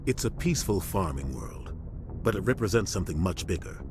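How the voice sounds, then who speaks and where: An actor playing a commanding middle-aged starship captain in a video game, with a measured, authoritative military delivery. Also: male voice, low-pitched, big pitch swings, background noise. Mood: earnest, explaining. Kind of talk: video game dialogue acting.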